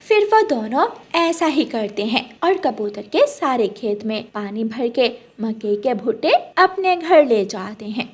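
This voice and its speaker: High-voiced woman narrating a children's story. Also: big pitch swings, measured pace, hardly any pauses, breathy edge.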